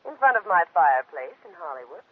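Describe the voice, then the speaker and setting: An actress playing a silky, scheming ex-wife in a 1940s radio drama, husky, mock-sweet and needling. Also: female voice, low-pitched, big pitch swings, fast talker, dry recording, heavily acted. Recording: phone-like old 1940s radio recording.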